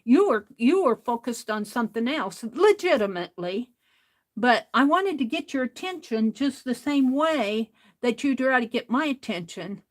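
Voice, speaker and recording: A woman hosting an art livestream, talking casually to chat in a loud voice, rambling and restarting her sentences. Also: on the high side, lively intonation, moderate pace.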